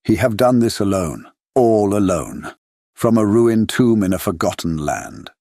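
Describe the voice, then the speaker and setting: An actor playing an earnest older foreign professor and vampire hunter in an audiobook drama, speaking in grave, emphatic oratory as a non-native English speaker. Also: male voice, on the low side, mellow, no echo, clean studio sound, close to an everyday voice. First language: not English, speaks it with foreign grammar. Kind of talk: full-cast audiobook dramatic monologue.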